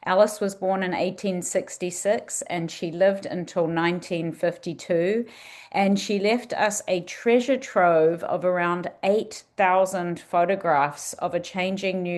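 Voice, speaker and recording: A woman's voice: lowish voice, steady tone, unhurried, darkish tone. New Zealand-accented woman giving a talk. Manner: friendly, with frequent ums. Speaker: museum director giving a talk. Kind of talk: presentation.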